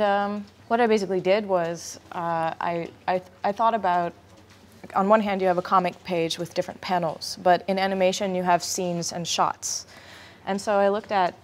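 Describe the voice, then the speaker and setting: Female animator, slightly low pitch, explanatory interview style. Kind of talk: explanatory interview about creative process.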